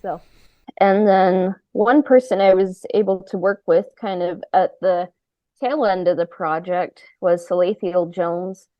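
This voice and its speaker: A woman hosting a casual podcast chat, talking haltingly and often trailing off. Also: lowish voice, steady tone, no echo, muffled recording. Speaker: podcast host.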